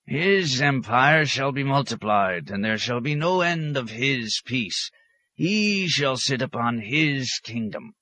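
A man's voice: medium pitch, expressive, takes it slowly, pushed and bright, voice pushed up, full character voice. A man voicing a cranky, ancient desert hermit in an audiobook, grumbling and indignant, with crusty, archaic scriptural turns of phrase. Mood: prophetic fervour.

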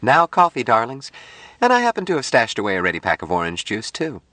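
A man voicing a bright, affectionate, playful young woman in an audiobook story, with a gushing, emphatic manner full of endearments. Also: mid-range voice, wildly swooping pitch, brisk, dry recording, voice pushed up. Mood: cheerful, tender.

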